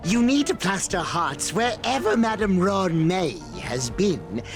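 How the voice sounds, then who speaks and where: A fanatical video game devotee with a reverent, confiding manner. The delivery is slowish with lively swells in pitch, over game music. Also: female voice. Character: devout, earnest fanatical follower of a cult. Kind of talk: video game character acting.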